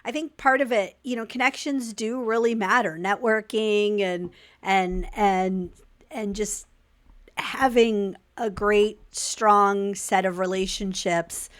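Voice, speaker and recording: A woman hosting an interview podcast as a coach, asking questions with quick, enthusiastic energy. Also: medium pitch, fairly even intonation. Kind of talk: conversational interview.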